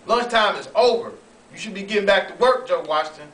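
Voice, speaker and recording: A man's voice: high voice, animated, brisk, fairly bright tone, pitched above the performer's own voice. A man playing a brusque, busy railroad boss in an amateur sketch read-through, emphatic and commanding. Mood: startled, commanding. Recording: roomy, distant, faintly noisy recording.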